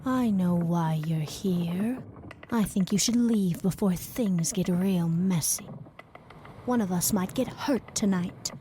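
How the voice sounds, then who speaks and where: An actress playing a bitter, scornful former lover in a theatrical audio drama, with faint noise behind. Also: female voice, lowish voice, takes it slowly, dark tone. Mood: cold, bitter.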